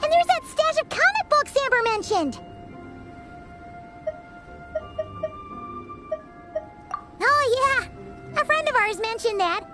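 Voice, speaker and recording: A woman's voice: very high-pitched, lively intonation, moderate pace. A woman voicing a tiny, chirpy and blunt fairy companion in a video game. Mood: curious. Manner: perky, refers to self in third person. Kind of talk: video game character dialogue. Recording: game music behind voice.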